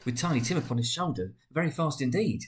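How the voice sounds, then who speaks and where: Storybook mother, acted by a man: careworn, loving, halting, very varied intonation. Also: mid-range voice, very animated, voice pushed up, natural voice. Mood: brave, wistful. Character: Mrs Cratchit, gentle, careworn, loving mother. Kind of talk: dramatic storybook reading.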